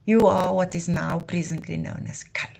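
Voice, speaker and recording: A woman's voice: fairly low pitch, fairly even intonation, normal speed, vocal fry, warm tone. A woman from Namibia, hosting a live talk in a passionate, declamatory way. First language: Afrikaans. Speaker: livestream host. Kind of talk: impassioned heritage talk.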